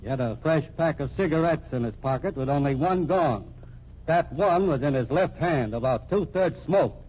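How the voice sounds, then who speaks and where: A man's voice: a little high, animated, moderate pace, darkish tone, slight background noise, close to an everyday voice. Casting: male actor for short-fused American homicide captain, hard-boiled, accusing, old radio style, muffled recording. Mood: accusing, sure. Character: tough, blunt, short-tempered police homicide captain. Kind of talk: old-time radio crime drama acting.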